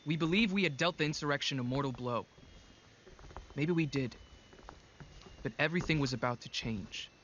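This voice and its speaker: A man playing an earnest young super-soldier recounting war in clipped lines, in an animated sci-fi drama. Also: on the high side, normal speed, pauses often, close to an everyday voice. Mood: somber recollection. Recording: slightly dull, faint music.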